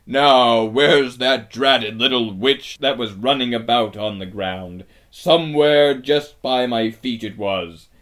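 A man voicing an honest, good-tempered giant in a storybook, speaking loudly in a rustic, deferential way. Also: slow pace, hardly any pauses. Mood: sleepy, puzzled. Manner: booming, rustic, old-fashioned turns of phrase.

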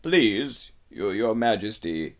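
A man's voice: mid-range voice, animated, slow. A man voicing a timid, deferential elderly fox in a storybook, stammering and humbly polite. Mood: nervous, humble. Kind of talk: storybook character voice.

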